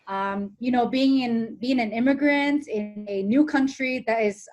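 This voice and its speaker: A woman with an American accent and a fairly high voice, speaking as a guest on a podcast call. She is reflective, repeats phrases for emphasis and laughs easily. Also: unhurried, a little mellow. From Hong Kong, raised in Ohio, USA. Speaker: guest, TV presenter. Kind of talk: casual interview chat. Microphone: remote call.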